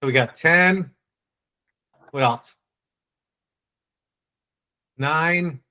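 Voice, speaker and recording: A man with a New England accent leading a live online sales training, quizzing and teasing his audience in a brisk way. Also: fairly high pitch, bright, forceful tone.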